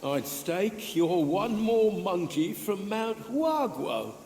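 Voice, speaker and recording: Sly, drawling demon. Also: male voice, high-pitched, big pitch swings, slow pace, darkish tone, slight background noise, full character voice. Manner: drawling, dismissive. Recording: echoey hall with long reverb.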